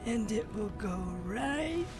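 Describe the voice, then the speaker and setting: An actress playing a solemn, mystical alien guide in a game cutscene, speaking in a cryptic, deliberate way. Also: female voice, fairly high pitch, animated. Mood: cryptic, instructing. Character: solemn mystical female alien elder guiding a chosen hero. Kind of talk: cinematic game cutscene acting. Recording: loud cinematic music behind. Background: loud music.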